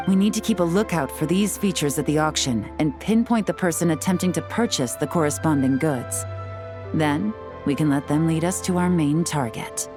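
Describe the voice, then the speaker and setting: A woman playing a shrewd, self-assured intelligence operative in a video game, composed, deliberate and commanding. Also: deep voice, over music, natural voice. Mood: composed, instructing.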